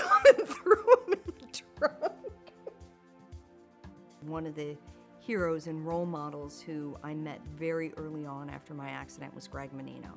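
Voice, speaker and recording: A woman's voice: deep voice, vocal fry. A woman, a Paralympic skier, tells her own story in a documentary interview, speaking candidly and often breaking into laughter mid-sentence.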